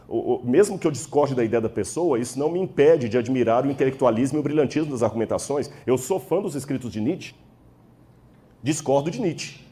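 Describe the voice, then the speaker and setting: Mellow Brazilian man debating, emphatic and didactic. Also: mid-range voice. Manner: emphatic, didactic, checks in with listeners. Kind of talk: public debate speech, rhetorical lecturing.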